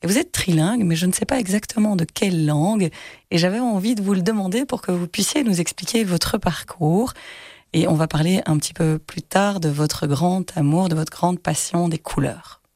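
An interview host who welcomes the guest and asks questions in a gentle, measured way, sometimes rephrasing mid-question. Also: medium pitch, no echo, clean studio sound. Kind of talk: interview hosting.

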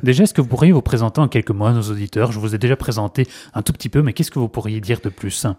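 A male radio host, fluent and brisk, introducing a guest and asking questions. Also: medium pitch, warm tone. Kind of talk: radio interview.

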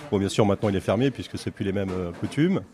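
A man's voice: lowish voice, quick pace, dark tone, soft background music. A middle-aged French man who owns a café, chatting casually in an interview with many fillers and restarts. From France. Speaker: café owner.

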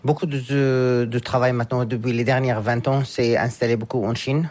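A man from France, raised in Japan, answering interview questions in a halting way as he searches for words. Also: medium pitch, level tone, darkish tone. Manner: halting, searching for words, with frequent pauses and restarts. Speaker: guest, scientist and businessman.

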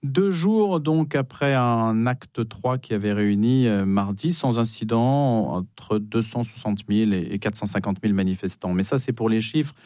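A man's voice: on the low side, moderate pace, few pauses, mellow, no room sound. Male radio host in a dry studio. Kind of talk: live radio interview and introductions.

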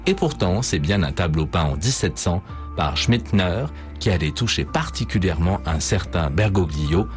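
A man reading a scripted radio promo in a measured announcer style. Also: deep voice, animated, faint music behind.

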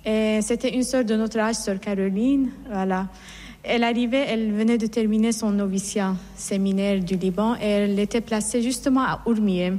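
A middle-aged nun from Iran recounts her calling with earnest, reflective warmth, circling back and restarting her phrases as she goes. Her voice sits at an ordinary woman's pitch. A long hall reverb trails behind every word. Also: steady tone, normal speed. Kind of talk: personal testimony in an interview.

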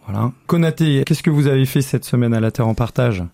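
A man's voice: mid-range voice, brisk, vocal fry, warm tone, no echo. A man hosting a radio show, easygoing and guiding his guests with short prompts. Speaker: radio host. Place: radio studio. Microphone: studio microphone.

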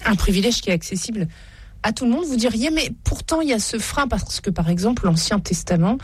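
A woman's voice: a little high, expressive, brisk, husky. A woman hosting a religious radio programme, presenting and putting questions to a guest. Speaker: radio host, interviewer.

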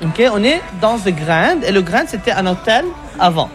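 A woman's voice: mid-range voice, normal speed, over music. Quizzing tour guide woman, non-native French. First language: not French. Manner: lively, quizzes the listener, keeps saying "exactement". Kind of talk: explaining to a radio reporter on a tour. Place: pizzeria restaurant indoors.

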